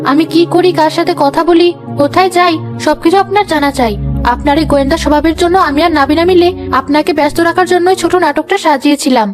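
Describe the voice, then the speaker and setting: A high young female voice acting a clever daughter-in-law in a cartoon comedy. She talks fast, politely and reassuringly, with a mischievous streak, over noticeable music. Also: lightly acted. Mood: explaining.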